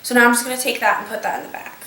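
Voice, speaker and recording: Young woman vlogger, slightly nasal from a cold, chatty step-by-step tutorial delivery, higher voice, recorded from a distance. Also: steady tone, rapid-fire, background noise. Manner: chatty, explains step by step with many fillers. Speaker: beauty vlogger. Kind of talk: casual talk-through tutorial. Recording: echoey room, voice a bit off-mic.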